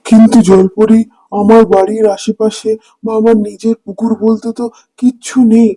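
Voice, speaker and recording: Man playing a high-voiced, humble poor fisherman. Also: level tone, normal speed, warm tone, soft background music. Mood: troubled. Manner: plaintive, earnest. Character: poor, humble, troubled village fisherman and father. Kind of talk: cartoon voice acting.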